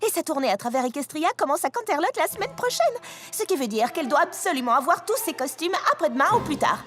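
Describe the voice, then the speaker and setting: A refined big-sister pony from a cartoon. Her voice is high and swings expressively with theatrical elegance, over a clear musical backing. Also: sing-song, quick pace, soft background music. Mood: poised. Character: elegant adult lady pony, kindly dramatic big sister. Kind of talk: French cartoon dubbing.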